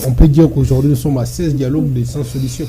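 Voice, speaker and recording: A man hosting a political talk show, putting questions in a halting way and often repeating words as he searches for the next one. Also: mid-range voice, fairly even intonation, a crowd in the background. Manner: halting, stammering repetition of words. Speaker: television debate host.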